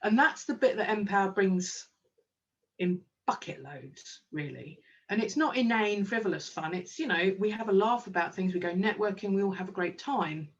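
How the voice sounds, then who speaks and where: A woman's voice: mid-range voice, animated, moderate pace, recorded from a distance, highs cut off. A woman, a kinesiologist and business owner, talking enthusiastically in a casual interview with plenty of ums. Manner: enthusiastic, chatty, with frequent ums.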